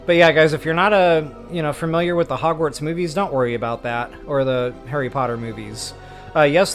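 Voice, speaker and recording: A man hosting a game stream, chatting casually to viewers in an informal, self-deprecating, rambling way. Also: mid-range voice. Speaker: host of a video game walkthrough stream.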